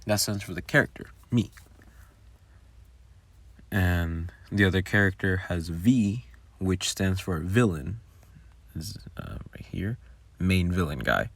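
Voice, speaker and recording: A man's voice: deep voice, expressive, slow, pauses often. A man giving casual behind-the-scenes commentary on his own audio work in a rambling, informal way. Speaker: audio roleplay creator.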